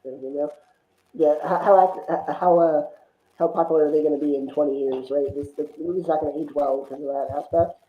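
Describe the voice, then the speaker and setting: A man's voice: on the high side, steady tone, brisk. A man in a casual film chat, opinionated and rambling as he talks. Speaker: film discussion podcast guest. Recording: roomy echo, thin phone-like line.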